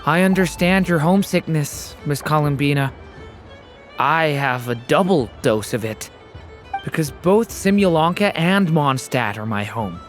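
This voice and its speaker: An actor voicing a gentle, polite young traveller in an anime game, earnest and kindly. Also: medium pitch, animated, measured pace, soft background music, close to an everyday voice. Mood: wistful. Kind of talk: anime game cutscene acting.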